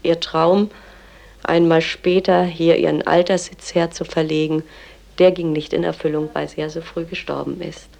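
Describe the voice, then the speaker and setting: A woman explaining a writer's life in a measured, knowledgeable way, offering her own opinions as she goes. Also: deep voice, little pitch movement, gravelly, a little mellow. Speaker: commentator and guide on a poet's life. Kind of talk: informal literary-historical explanation.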